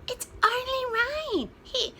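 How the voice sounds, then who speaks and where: A huge, kindly storybook chicken, voiced by a woman, bright in tone and unhurried. It is calm and patient, reassuring the smaller ones while quietly long-suffering. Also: very high-pitched, vocal fry, slight background noise, pitched above the performer's own voice, full character voice.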